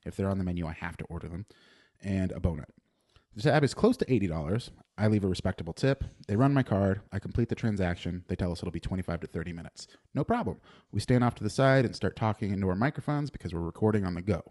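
A young man co-hosting a podcast, reading out a dry, deadpan restaurant review with frequent "uh" restarts. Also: low voice, fast talker. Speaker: podcast co-host. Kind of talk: casual chat, reading a written food review aloud.

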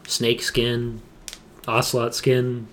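A man's voice: medium pitch, completely flat delivery, slow pace, fairly bright tone. A young adult man co-hosting a podcast, chatting casually and opinionatedly, repeating phrases emphatically for stress. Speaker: podcast co-host.

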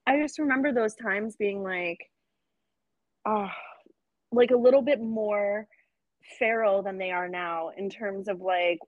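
A woman's voice: medium pitch, unhurried, long pauses, no room sound, narrow, tinny audio. Woman, thirties, podcast host, casual and frank, lots of "like", dry close sound. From United States. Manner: chatty, lots of "like", animated and frank. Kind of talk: casual chat.

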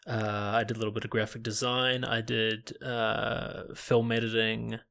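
A man's voice: lowish voice, monotone, measured pace, creaky, pushed and bright. A man from New Zealand, a guest chatting casually about himself, laughing often and restarting his sentences. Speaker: podcast guest, online gamer. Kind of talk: casual chat.

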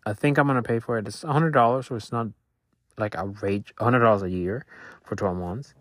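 Low-voiced man, Spanish native, musing. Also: no room sound. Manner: thoughtful, halting, restarts often. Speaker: podcaster reflecting on his week. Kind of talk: casual reflective monologue. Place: Louisiana.

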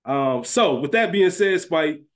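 A man's voice: fairly high pitch, expressive, measured pace. A man co-hosting a podcast, talking through a TV series in an informal, conversational, explanatory way. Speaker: podcast co-host. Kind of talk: casual podcast discussion of a TV series.

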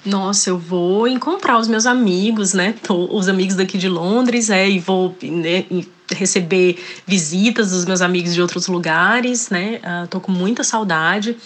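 A woman's voice: medium pitch, unhurried. A woman from Ceará with a Northeastern Brazilian accent, a guest chatting casually in an interview, hesitating often and restarting her words. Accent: Northeastern Brazilian Portuguese. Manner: hesitant, many fillers and restarts. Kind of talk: casual interview, reading passages aloud.

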